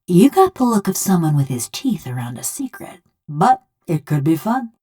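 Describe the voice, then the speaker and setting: A woman voicing a bitter, sardonic construct woman in a video game, a rough rural killer who talks in folksy, ungrammatical, taunting speech. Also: fairly low pitch, big pitch swings, normal speed, warm tone, a little hiss or hum. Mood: dry, wry. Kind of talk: video game character dialogue.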